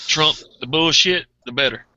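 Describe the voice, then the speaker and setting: A man in a casual online group chat, agreeable, chuckling and thinking out loud. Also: on the high side, normal speed, no echo, dull audio. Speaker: chat participant.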